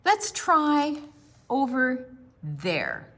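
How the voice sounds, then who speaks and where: An older woman reading aloud to small children at storytime, theatrical, stretching words and dropping into hushed asides. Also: high-pitched, wildly swooping pitch, very slow. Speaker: children's library storytime reader. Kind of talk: animated read-aloud narration for small children.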